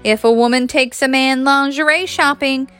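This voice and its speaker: A woman's voice: high-pitched, steady tone, moderate pace, fairly bright tone, faint music behind, pitched above the performer's own voice. A woman storyteller voicing a bashful young man, hesitant and awkwardly casual with his old friend. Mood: sheepish, joking.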